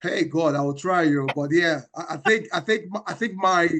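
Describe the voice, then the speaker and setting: A man's voice: on the high side, moderate pace, fairly bright tone. A man, a guest organizer, playing a guessing game and stammering as he answers, sometimes breaking into song. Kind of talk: casual chat, game guest.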